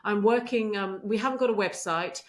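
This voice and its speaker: A woman's voice: mid-range voice, normal speed. Earnest woman, podcast guest. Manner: earnest, warm faith talk with frequent affirmations. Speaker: podcast guest, medical leader. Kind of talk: conversational interview.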